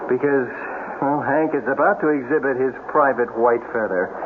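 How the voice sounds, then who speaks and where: A man's voice: medium pitch, normal speed, natural voice. An actor playing a plain-spoken young American man trapped in a cave, in 1970s radio drama style. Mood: rueful. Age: young adult. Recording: noisy, phone-like old radio broadcast.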